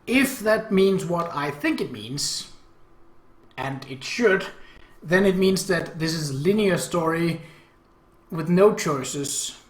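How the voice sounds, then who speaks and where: Let's play host: animated delivery, wry asides, story read-aloud, roomy home recording. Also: mid-range voice, slow. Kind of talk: casual game commentary mixed with story read-aloud.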